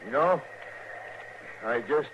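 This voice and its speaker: Rustler prisoner, rustic drawl, grudging and puzzled; dull, noisy vintage radio recording. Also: male voice, mid-range voice, expressive, slow pace. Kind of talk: 1950s western radio drama acting. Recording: muffled old radio recording with heavy noise.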